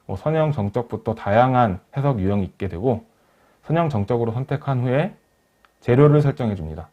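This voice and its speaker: Muffled man presenting a software tutorial. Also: medium pitch, animated, brisk. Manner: polite, formal explanatory delivery. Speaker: webinar presenter, engineering software instructor. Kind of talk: software tutorial narration over screen demo. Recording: dull lo-fi voice recording.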